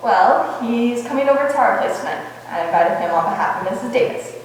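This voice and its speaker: A wry, quick-witted woman schoolteacher played by an actress in an old-style radio comedy. Her voice sits fairly high and delivers dry wisecracks. It carries from far off in a very echoey hall. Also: animated, natural voice. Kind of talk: 1940s-style radio comedy stage acting. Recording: echoey hall from afar, faint noise.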